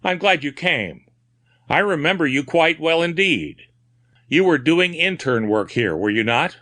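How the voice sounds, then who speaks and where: Male reader voicing a refined madman, haunted and feverish, raised high and urgent. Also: animated, a little slow, pushed and bright. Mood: polite. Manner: feverish, confiding, breaking into frantic cries. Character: frantic, haunted male asylum patient, refined.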